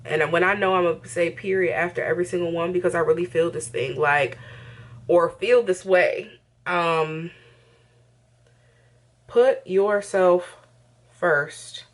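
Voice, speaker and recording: A woman's voice: on the low side, measured pace, long pauses. A woman in her early thirties chatting casually to viewers in a get-ready-with-me vlog, talking in an emphatic, familiar, conversational way. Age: early 30s. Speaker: vlogger, host of own channel. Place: indoors in a bedroom.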